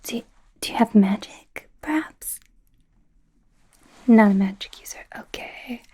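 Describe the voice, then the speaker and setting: A young woman voicing an awkward, flustered female werewolf student in a soft, whispery ASMR roleplay, stammering and rambling nervously. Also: fairly high pitch, takes it slowly, exaggerated voice. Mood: flustered, curious. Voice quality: soft, breathy, often whispery. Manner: nervous, stammering, rambling, indignant when embarrassed.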